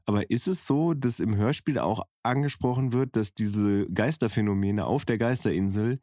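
Zögernder Mann mit eher tiefer Stimme. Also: male voice, on the low side, normal speed, warm tone, no echo. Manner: hesitant, many fillers and restarts. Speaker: podcast co-host. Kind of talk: casual chat about a book and audio drama.

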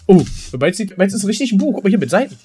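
Fast-talking man in casual chat. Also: sits high, big pitch swings, mellow, slight background noise. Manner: colloquial, repeats himself for emphasis. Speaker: podcast co-host.

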